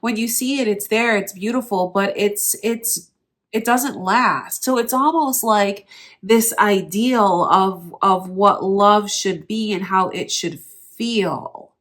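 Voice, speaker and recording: A woman's voice: medium pitch, takes it slowly, fairly bright tone. A woman with a nasal, stuffed-up voice giving a tarot reading, speaking directly and earnestly to the viewer. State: nasal from allergies. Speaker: tarot reader.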